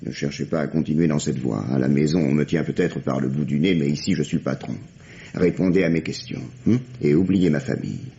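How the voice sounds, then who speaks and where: An actor playing a sardonic, authoritative middle-aged police inspector questioning a suspect in a 1950s-style French radio drama. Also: male voice, extremely low pitch, brisk, creaky, dark tone. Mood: stern. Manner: authoritative, sarcastic, theatrical. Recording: dull, lo-fi vintage radio recording.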